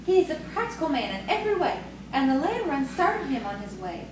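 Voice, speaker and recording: A woman's voice: sits high, big pitch swings, a little fast. A woman playing a coy, proud young farmer's daughter in audio theatre, with an earnest storytelling manner. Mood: confiding. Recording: distant, very echoey, noisy stage recording.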